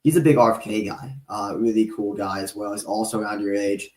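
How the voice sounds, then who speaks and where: A man of twenty-eight hosting a podcast interview, talking in a relaxed, rambling, chatty way. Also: on the low side, fairly quick. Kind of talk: casual interview chat. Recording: roomy echo.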